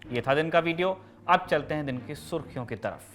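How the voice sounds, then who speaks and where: A man's voice: fairly high pitch, animated, fairly quick, a bit bright. A man presenting scripted news as the host of a show.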